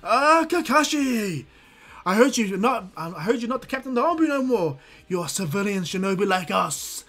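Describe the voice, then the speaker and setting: A man voicing a loud, boisterous adult male ninja obsessed with youth, booming and over the top. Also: big pitch swings, moderate pace, exaggerated voice.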